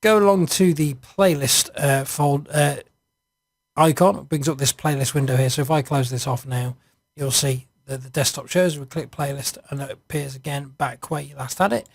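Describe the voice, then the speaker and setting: A man narrating an informal screencast software walkthrough, hesitant and improvised as he thinks aloud. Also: medium pitch, steady tone, normal speed, creaky, slightly rough, no echo, clean studio sound. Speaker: software reviewer.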